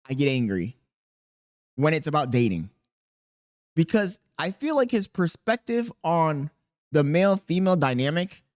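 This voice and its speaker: Man, squeaky higher voice, casual profane rant, dry close mic. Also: dry recording. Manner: animated, profane, rambling with fillers. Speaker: content creator. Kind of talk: casual commentary to camera. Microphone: podcast dynamic mic.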